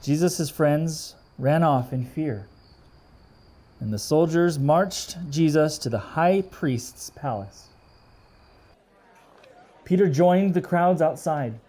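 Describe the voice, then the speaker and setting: A man reading a storybook aloud for children in an explanatory way, pausing to question his young listeners. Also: fairly high pitch, warm tone. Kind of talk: expressive children's read-aloud with asides.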